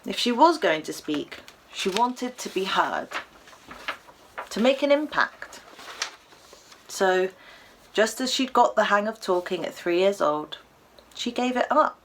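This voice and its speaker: A British woman reading a children's story aloud expressively, with animated delivery and dramatic pauses. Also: mid-range voice, slight background noise.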